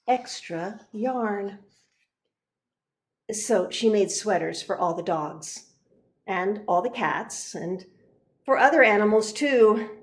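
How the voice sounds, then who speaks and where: A woman narrating a children's picture book, expressive and deliberate, pausing for emphasis. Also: mid-range voice. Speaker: reverend reading a picture book.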